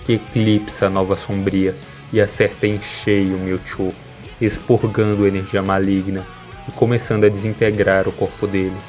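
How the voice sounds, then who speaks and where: A man's voice: low-pitched, little pitch movement, normal speed, darkish tone. A man with a Brazilian accent delivering scripted narration as the narrator of an analysis video. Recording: music behind the voice. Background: background music.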